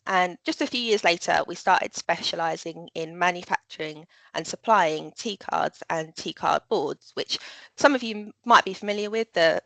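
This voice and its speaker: A woman in a customer support role presents a product in a live business webinar. She explains things in a friendly, clear way, with a few ums. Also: fairly low pitch, fairly bright tone, no echo, poor audio.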